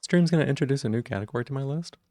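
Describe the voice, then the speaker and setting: Mellow-voiced streamer chatting with viewers. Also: male voice, very fast, no room sound, studio quality. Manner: friendly, greets chat members by name, jumps up in pitch on greetings. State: rough voice. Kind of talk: casual chat with viewers.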